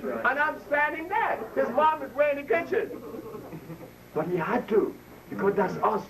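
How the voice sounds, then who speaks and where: A man's voice: very high-pitched, lively intonation, normal speed, crowd noise. A man in his seventies giving an impassioned lecture to an audience, preaching and drawing call and response from listeners. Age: 70s. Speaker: speaker addressing an audience. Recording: distant mic, faint room noise.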